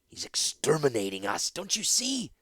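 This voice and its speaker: A man's voice: on the high side, huge pitch swings, moderate pace, fairly bright tone, dry recording, pitched above the performer's own voice, exaggerated voice. A man voicing a sick, bandaged male rebel leader who speaks urgently and insistently.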